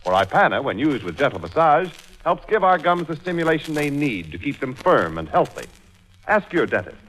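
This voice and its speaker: A man's voice: on the high side, big pitch swings, a little fast, a bit bright, a little hiss or hum, poor audio. An American man announcing in a polished, persuasive 1940s radio commercial style.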